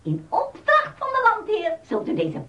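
A woman's voice: extremely high pitch, wildly swooping pitch, moderate pace, sharp tone, dull audio, heavily acted. An actress playing a menacing old witch in a radio drama, theatrical, swinging from sly sweetness to shrieking. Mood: furious, commanding. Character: menacing old witch Baba Yaga, guarding her landlord's land. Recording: heavy noise behind the voice.

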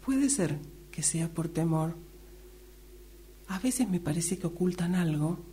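A middle-aged Argentine woman talks casually in an exercise. Her voice is slightly low and mellow, and a faint hum runs behind it on an amateur recording. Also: lively intonation, takes it slowly. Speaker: radio drama workshop participant. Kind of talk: casual face-to-face exercise chat. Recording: humming, noisy amateur recording.

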